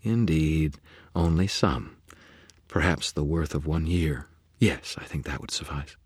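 A man's voice: rumbling low, a little slow, breathy edge, no room sound, clean studio sound, full character voice. A man voicing a sly, seductive female fairy who purrs and bargains with teasing whispers. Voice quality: purring, teasing, whispery at times. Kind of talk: audiobook character voice.

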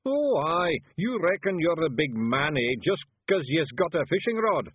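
Man doing a daft, high, wee Scottish brawler. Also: sing-song, unhurried, breathy edge, phone-quality sound, exaggerated voice. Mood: belligerent. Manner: blurting, cocky, dim. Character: daft, belligerent tiny Scottish male fairy brawler.

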